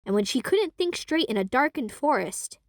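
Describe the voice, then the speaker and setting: Brief: young female narrator, lively and animated, dry close sound. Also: high-pitched, moderate pace, no echo. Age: young adult. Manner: expressive, lively storytelling. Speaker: narrator and voice actor. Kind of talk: audio drama narration.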